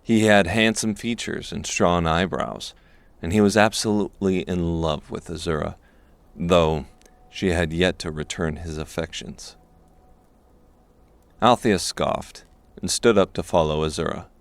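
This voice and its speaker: A man narrating a scripted dark fantasy audiobook. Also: sits low, measured pace, dry recording.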